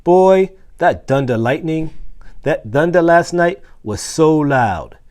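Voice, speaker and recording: An eager storybook schoolboy , acted out by a man reading aloud, exclaims with earnest excitement. The voice is lifted in pitch and swings about in a lively way. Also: slow. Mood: excited.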